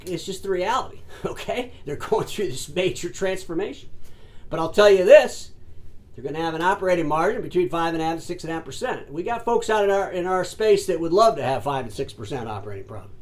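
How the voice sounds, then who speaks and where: A man delivers solo commentary in a fairly high voice, pressing his points hard and speaking straight to his listeners as folks and ladies and gentlemen. He often stumbles into repeated words and restarts before pushing on. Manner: emphatic, addresses audience as folks and ladies and gentlemen. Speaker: host of an industry commentary show.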